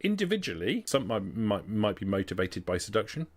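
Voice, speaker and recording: Low-pitched man running a tabletop game. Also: very animated. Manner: measured, detailed explaining. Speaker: game master. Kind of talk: explaining tabletop game rules and lore.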